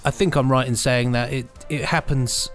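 Casting: male podcast host, medium pitch, encouraging, chatty presenting over faint music. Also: fairly even intonation, moderate pace. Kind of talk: casual news presenting.

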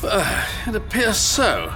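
Mocking villainous alien warlord, gravel in the voice, animated sneering lilt, audio drama. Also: male voice, high-pitched, takes it slowly, music in the background, full character voice. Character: sly, mocking male alien warlord held prisoner. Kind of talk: full-cast audio drama acting.

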